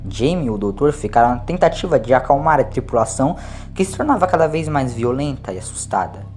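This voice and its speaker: A man's voice: mid-range voice, animated, quick pace, darkish tone, soft background music. A man reads a dramatic scripted story as an audiobook narrator. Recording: clear background noise, ambient sound.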